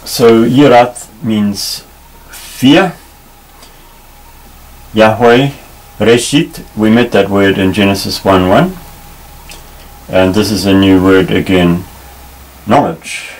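A man teaching a Bible Hebrew lesson at a table, explaining patiently with many pauses and restarts. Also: on the low side, poor audio. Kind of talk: unscripted tabletop lesson, explaining while pointing. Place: indoors at a table.

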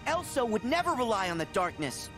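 A man voicing an earnest, stubborn teenage boy hero in a video game, plainspoken and quick to take offence. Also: high voice, sing-song, music in the background. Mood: defiant. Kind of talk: video game cutscene acting.

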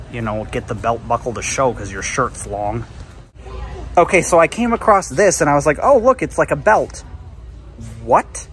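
A man's voice: mid-range voice, fairly bright tone, slight background noise. A man vlogging a walkthrough of a large store on a handheld camera, chatty and easily impressed, thinking aloud. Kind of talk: casual walkthrough narration. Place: large retail store indoors. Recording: store music playing behind. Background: store music.

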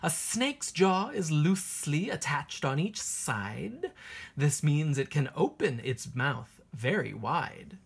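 A woman teacher reading a children's picture book aloud in an expressive, sing-song way, with a husky voice. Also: low voice, takes it slowly.

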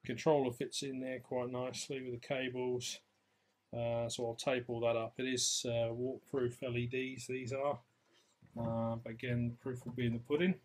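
A man's voice: mid-range voice, flat delivery, normal speed. A man giving a casual, hesitant, unscripted hands-on update as a hobbyist vlogger, on an amateur phone video.

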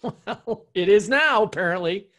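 A man's voice: sits high, animated, a little slow, a bit bright. A man co-hosting a podcast, chatting and interviewing in a conversational way. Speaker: podcast co-host.

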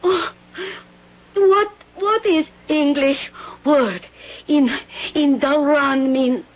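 An actress in a 1970s radio drama playing an anxious foreign palace maid who speaks broken, halting English. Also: female voice, high-pitched. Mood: pleading. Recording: dull 1970s radio broadcast.

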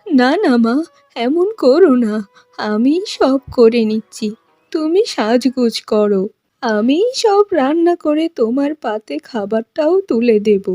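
A woman voicing a fretful, pleading older mother-in-law from a village in a cartoon, with plaintive, drawn-out exclamations. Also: sits high, animated, dark tone, no echo, studio quality, faint music behind. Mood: pleading, regretful. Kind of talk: cartoon voice acting.

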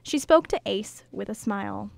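A woman's voice: mid-range voice, lively intonation, moderate pace, creaky, dry recording. A woman reading scripted story narration as the narrator of an amateur radio drama.

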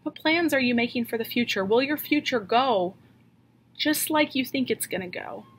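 A woman in her thirties preaching a sermon from a home office into a webcam, earnest and questioning, slowing down to stress key lines. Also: a little high, expressive, a little hiss or hum. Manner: earnest, questioning, slows for emphasis. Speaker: pastor. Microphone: webcam at desk.

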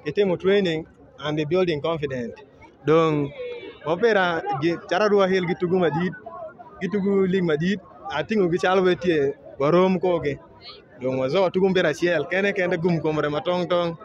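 Young male goalkeeper, slightly high, calm and hesitant, dull handheld audio, noisy crowd outdoors. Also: moderate pace. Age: young adult. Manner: calm, hesitant, starts answers with "mm". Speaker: goalkeeper, interviewee. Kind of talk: post-match interview answers. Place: outdoor football field among a large crowd. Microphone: handheld mic held by interviewer.

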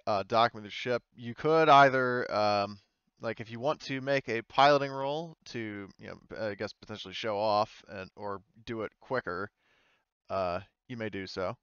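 A man's voice: medium pitch, normal speed, a bit bright, smooth, dry recording, dull audio. A man narrating a tabletop game as game master in a casual, hesitant, improvised way, explaining as he goes.

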